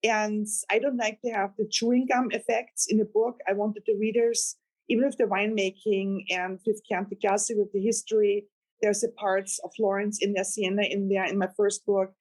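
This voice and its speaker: A woman's voice: medium pitch, little pitch movement, normal speed, darkish tone, poor audio. A woman from Austria whose first language is German, speaking English with an Austrian accent, chatting earnestly as a guest novelist in an interview. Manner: earnest, rambling, laughs with delight. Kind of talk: casual interview chat.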